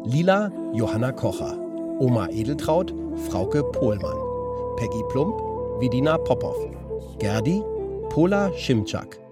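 A man's voice: mid-range voice, sing-song, a little slow. A man reading scripted credits as the announcer of a children's radio play. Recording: loud music under voice.

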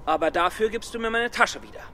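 An actor playing a timid, earnest, hesitant young scholar in a children's radio play. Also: male voice, high-pitched, quick pace, bright, forceful tone, a little hiss or hum. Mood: hesitant, flustered.

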